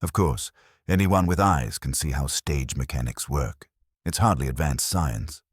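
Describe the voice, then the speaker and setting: A man voicing a grave, pompous amateur theatre man in a mystery story, deliberately weighing up suspects. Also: rumbling low, slightly rough, no room sound, studio quality, heavily acted. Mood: grave, insinuating.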